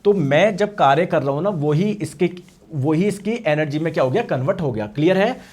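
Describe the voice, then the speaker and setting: A male science teacher with a hoarse voice, lecturing an online exam-prep class. He asks questions and answers them himself, and he repeats each term in Hindi and English. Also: fairly high pitch, animated, fairly quick, darkish tone. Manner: addresses students as friends.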